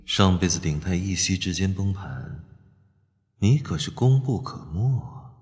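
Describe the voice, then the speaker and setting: An actor playing a cool, shrewd young business heir in a radio drama, unhurried and sardonic. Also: male voice, sits low. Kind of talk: modern radio drama acting. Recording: long reverb, light music underneath.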